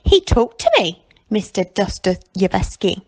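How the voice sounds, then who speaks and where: A woman's voice: medium pitch, big pitch swings, slow pace, poor audio. A woman voicing a shy, innocent young boy in a storybook, hesitant and questioning. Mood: puzzled.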